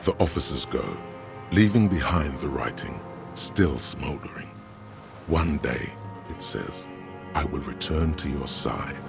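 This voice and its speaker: An actor voicing a somber, all-knowing narrator in a detective video game, reading literary prose in a measured, solemn, gravelly voice. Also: male voice, rumbling low, expressive, full character voice. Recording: music under the voice.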